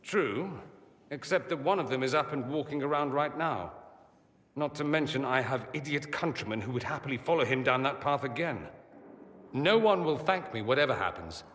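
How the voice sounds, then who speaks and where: An actor playing a vain, witty gentleman mage in a fantasy game, theatrical and sardonic. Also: mid-range voice, lively intonation, normal speed, lightly acted. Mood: bitter. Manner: theatrical, sardonic, self-assured. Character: flamboyant, witty, vain male mage from a foreign empire. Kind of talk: dramatic video game cutscene acting. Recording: long echoing reverb.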